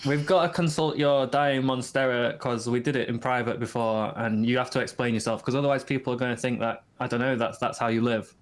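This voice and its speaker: A man's voice: medium pitch, steady tone, a little fast, hardly any pauses. A man co-hosting a podcast who talks casually and teases his guest in a playful way. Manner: teasing, playful. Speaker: podcast co-host. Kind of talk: casual chat.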